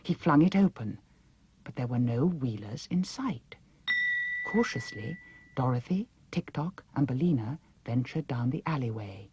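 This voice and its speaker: Low, expressive woman storyteller. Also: unhurried, muffled recording, a little hiss or hum. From United Kingdom. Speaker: audiobook narrator. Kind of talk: scripted children's story narration, dramatic.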